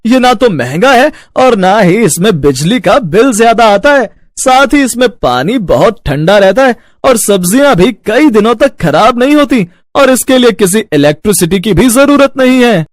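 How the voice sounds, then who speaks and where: A humble village potter, acted by a man in a moral tale. His voice is notably high and very animated, earnest and practical, in a dry recording. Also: normal speed, hardly any pauses, no room sound. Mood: eager hawking. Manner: earnest, expressive. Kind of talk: children's moral story acting.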